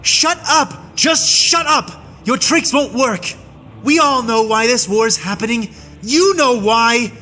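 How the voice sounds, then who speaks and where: A man playing an enraged human soldier, tied up and hurling a breathless, shouted tirade of hatred in an audiobook. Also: sits high, fairly even intonation, a little slow, gravelly, hardly any pauses, a little hiss or hum, pitched above the performer's own voice, heavily acted. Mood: raging. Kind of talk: audiobook reading.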